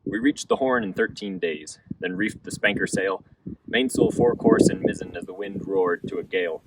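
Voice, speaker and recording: A man with a gravelly voice reciting a rhymed sea ballad in a theatrical, measured storytelling manner. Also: lowish voice, huge pitch swings, recorded from a distance, very noisy.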